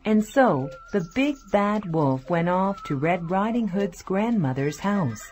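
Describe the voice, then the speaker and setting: A woman's voice: mid-range voice, lively intonation, slow, darkish tone. A woman narrator reading a scripted fairy tale for children. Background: faint music.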